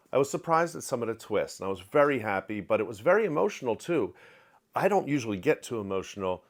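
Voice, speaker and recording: A middle-aged man giving a candid, opinionated book review in a home study, talking close to the camera with lively hand gestures. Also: mid-range voice. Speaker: book vlogger. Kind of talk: casual reading wrap-up review. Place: home study with shelves of collectibles. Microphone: webcam framing, close to camera.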